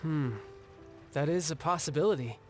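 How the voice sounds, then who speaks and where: A cocky young warrior from a video game, played by a man, with a fairly high, boyish voice. He sounds breezy, teasing and nonchalant over a light bed of music. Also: normal speed, a little mellow, natural voice. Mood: reflective. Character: cocky, playful young male fighter, breezily confident. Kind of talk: video game character dialogue.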